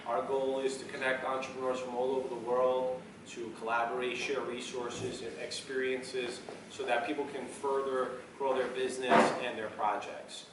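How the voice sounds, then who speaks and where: A man hosts an event and asks loose, rambling questions. He sounds far from the mic in a very echoey room, with steady noise behind him. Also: medium pitch, normal speed, few pauses. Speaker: event host, interviewer. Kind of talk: casual onstage interview.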